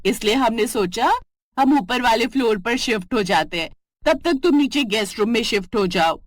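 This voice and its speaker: Scheming guest aunt for a cartoon, played by a woman, bossy and teasing, higher voice. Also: lively intonation, normal speed, no room sound, clean studio sound. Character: pushy, scheming middle-aged aunt-in-law guest.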